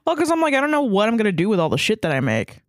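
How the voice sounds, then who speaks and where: A man in his twenties, co-hosting a podcast in relaxed chat and swearing often. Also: sits high, racing, dry recording, studio quality. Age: 20s. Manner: laid-back, swears a lot. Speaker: podcast co-host. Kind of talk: casual chat.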